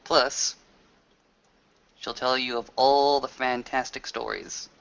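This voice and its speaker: Guy doing tabletop rp, crisp bright voice, kinda halting, muffled lo-fi recording. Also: mid-range voice, steady tone. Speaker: game master and roleplayer. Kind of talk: improvised tabletop roleplay, narrating and speaking in character.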